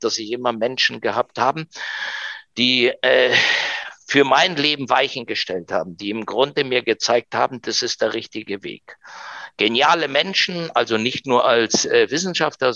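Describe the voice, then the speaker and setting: Gravelly middle-aged man. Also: medium pitch, sharp tone, no echo, highs cut off. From Argentina. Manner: reflective, rambling with many fillers. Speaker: researcher guest. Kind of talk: podcast storytelling.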